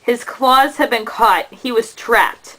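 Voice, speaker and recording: A woman giving a measured, dramatic narration of an epic poem as the storyteller of a puppet show. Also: a little high, sharp tone.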